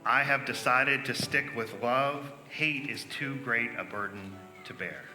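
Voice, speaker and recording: A man's voice: mid-range voice, unhurried. A man reading out short famous quotations as a church member. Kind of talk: recited quotations. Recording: echoey hall, music underneath. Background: music.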